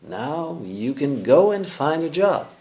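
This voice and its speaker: A man's voice: fairly high pitch, very animated, slow, dark tone, pitched above the performer's own voice, full character voice. A man voicing a kind, patient shepherd father encouraging his son in a simple storybook tale.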